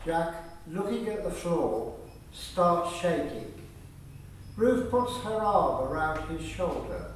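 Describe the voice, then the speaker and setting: A man reading scripted narration for a radio play in a measured storytelling manner. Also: fairly high pitch, very animated, slight background noise. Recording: very echoey room, distant mic.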